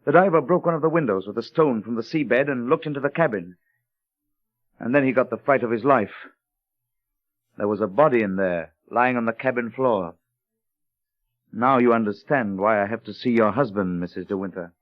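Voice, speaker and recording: A grave, reluctant harbour master in an old radio play, voiced by a man. His mid-pitched voice is measured, tactful and apologetic, caught on a muffled vintage recording. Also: close to an everyday voice. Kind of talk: 1930s radio drama acting.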